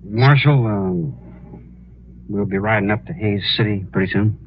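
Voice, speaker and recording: An actor playing a weary, earnest young condemned prisoner in a 1950s radio western, with plain, halting rustic speech. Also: male voice, on the low side, close to an everyday voice. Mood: weary, subdued. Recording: tinny, noisy old radio recording.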